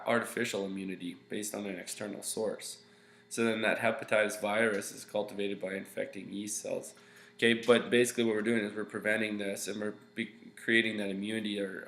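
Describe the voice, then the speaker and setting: A young male teacher talks through a recorded slide lesson in a stuffy, nasal voice that sits a little low and turns gravelly. He explains casually over a webcam, stopping often for fillers. The small room around him sounds echoey, with a faint hum underneath. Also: fairly bright tone. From Canada. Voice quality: congested, nasal. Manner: loose, explaining with many fillers and okays. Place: small room at home, indoors.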